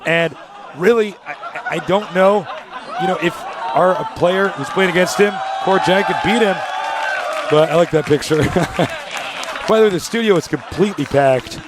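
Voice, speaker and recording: A man's voice: high-pitched, a little slow, crowd noise, noisy background. Hyped young male esports caster. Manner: hyped, joking, announcer-like. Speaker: esports commentator. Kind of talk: live play-by-play commentary. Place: packed event studio.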